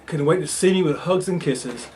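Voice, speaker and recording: A man's voice: fairly high pitch, normal speed, slight background noise. A man giving an enthusiastic video update about a trip. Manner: enthusiastic and warm-hearted.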